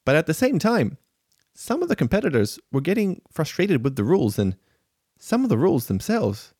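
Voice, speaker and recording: A man hosting a conversational podcast interview, lively and laughing often. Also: fairly high pitch, normal speed, breathy edge, dry recording, clean studio sound. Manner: lively, laughs often, reads sponsor spots. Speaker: podcast host.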